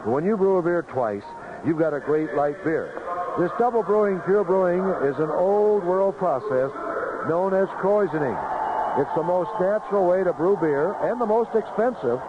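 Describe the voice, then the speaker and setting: A man's voice: sits high, animated, moderate pace. A man reading a scripted beer commercial as an announcer on 1970s radio. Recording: noisy, narrow-band vintage 1970s radio.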